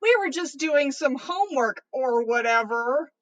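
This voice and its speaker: Woman doing an excitable teen witch, high voice, loud, melodramatic, exclaiming, comic storybook schoolgirl. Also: measured pace, rough recording. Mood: apologetic, dramatic.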